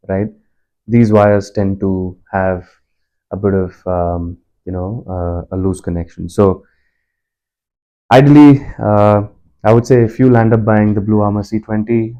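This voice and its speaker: A man giving a casual product review in an explanatory, measured, conversational way. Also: on the low side, mellow, poor audio. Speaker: product reviewer and motorbike commuter.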